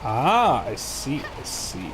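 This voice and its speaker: A man with a Chicago accent, streaming a video game and chatting casually with viewers in a relaxed, rambling way. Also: mid-range voice, takes it slowly, music in the background. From Chicago area, USA. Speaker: live streamer. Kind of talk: casual chat while playing a video game.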